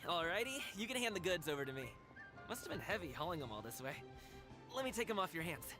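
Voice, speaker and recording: Video game role: upbeat young courier-guard, high voice, breezy and polite, music behind. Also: male voice, sing-song, fast talker. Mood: eager. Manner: breezy, sociable, courteous. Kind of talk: video game character acting.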